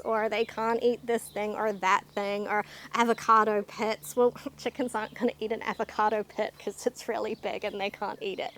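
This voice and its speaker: A woman's voice: on the high side, a little hiss or hum. A middle-aged woman with a New Zealand accent, talking in a chatty, opinionated, down-to-earth way as she explains things in a casual vlog. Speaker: video host, chicken keeper.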